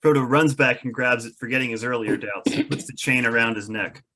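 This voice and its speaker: A man with a mid-pitched voice narrates a screenplay's stage directions. He reads scripted scene descriptions as part of a table read. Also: brisk, sharp tone, slight background noise.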